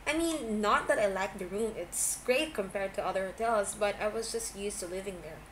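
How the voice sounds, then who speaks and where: A woman's voice: medium pitch, normal speed, lightly acted. A woman voicing a giggly, defensive young man in an amateur audiobook, stammering as the character gets flustered. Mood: flustered, apologetic. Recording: clearly noisy amateur home recording.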